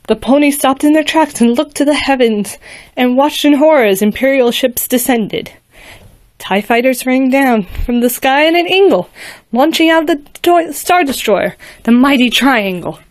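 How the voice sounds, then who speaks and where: A woman's voice: high voice, dry recording. A woman casually reading a rhyming fan fiction story aloud, now and then stumbling and restarting a word. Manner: casual, slightly halting with restarts. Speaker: fanfiction reader.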